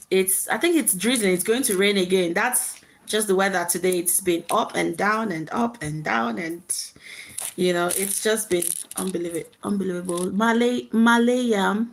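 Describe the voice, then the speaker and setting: A woman hosting a casual live chat, speaking reflectively in short, repeated phrases to her viewers. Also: medium pitch. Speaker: live stream host.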